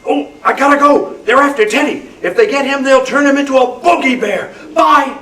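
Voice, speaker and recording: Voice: sits high, moderate pace, a bit bright. A performer voicing an imaginative, stubborn little boy in a live spooky radio play reading, with a whiny, dramatic delivery. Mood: excited, insistent. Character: little boy Ira, excitable, stubborn, imaginative, fearful of boogeymen. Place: club meeting room, live reading. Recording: roomy distant microphone, noisy meeting room.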